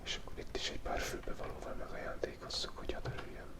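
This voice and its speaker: An ASMR channel host whispering a playful, rambling commentary while drawing, thinking aloud and often restarting phrases. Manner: soft unvoiced whisper throughout.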